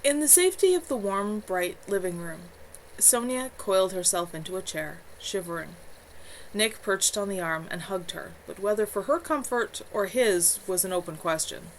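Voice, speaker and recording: A woman author reading her novel aloud live from home in a measured storytelling manner. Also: medium pitch, expressive, slight background noise, poor audio. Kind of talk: live read-aloud narration.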